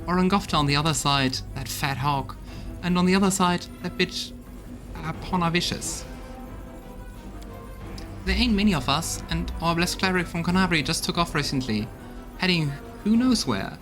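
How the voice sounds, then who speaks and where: A blunt, loyal soldier of a fantasy crusade, acted out by a man reading game dialogue, reports in folksy, gossipy terms. The voice sits a little high, with faint music behind it. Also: normal speed, lightly acted. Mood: defiant, bitter. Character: rough-tongued loyal crusade soldier reporting bad news.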